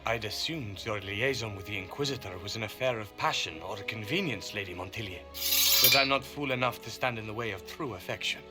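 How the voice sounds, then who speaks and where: A man's voice: on the low side, a little fast, close to an everyday voice. Man playing haughty, courtly lord over music. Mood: formal, gracious. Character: proud, gracious aristocratic lord conceding defeat. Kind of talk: video game cutscene acting. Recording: background music under the voice.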